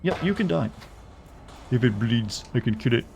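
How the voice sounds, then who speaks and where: A male streamer talks through a game in a chatty, wry way, tossing out jokes as he plays. His voice has a slight rasp, sits at a middling pitch, and soft game music hums underneath. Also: expressive, moderate pace. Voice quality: a bit raspy. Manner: chatty and wry, joking asides. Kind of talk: live gameplay commentary. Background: faint game music.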